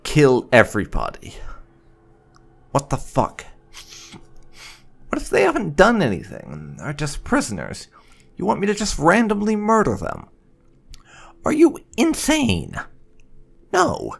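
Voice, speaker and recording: A man giving casual, expressive, conversational video game commentary with some light roleplay. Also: fairly high pitch, unhurried, vocal fry, long pauses. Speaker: gaming channel host. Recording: faint game music behind.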